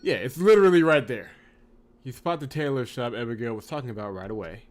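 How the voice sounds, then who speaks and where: A man's voice: medium pitch, expressive, fast. Wry male visual novel streamer. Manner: wry, teasing, mock-indignant. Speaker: game streamer. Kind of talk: playthrough commentary with read-aloud narration. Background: game music.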